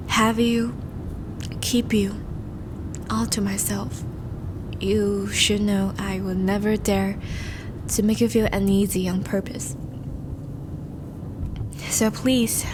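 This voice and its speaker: A woman playing a charming, courtly vampire suitor in a romantic audio roleplay, speaking formally and gently to the listener. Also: medium pitch, noisy background, lightly acted. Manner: formal, courtly, gentle phrasing with soft sighs.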